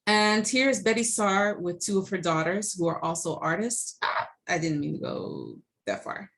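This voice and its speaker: A middle-aged woman lecturing to students over a set of slides, her voice sitting somewhat low for a woman. She talks loosely and conversationally, pausing on ums and restarting her sentences as she goes. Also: animated, creaky, fairly bright tone, a little hiss or hum. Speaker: college professor.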